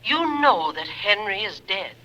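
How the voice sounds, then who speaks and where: A woman's voice: medium pitch, animated, unhurried, lo-fi sound, lightly acted. An actress playing a stern, offended middle-aged mother, curt and reproachful, in an old radio thriller. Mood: indignant. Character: stern, offended mother of a dead young man. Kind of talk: 1940s-50s radio thriller acting.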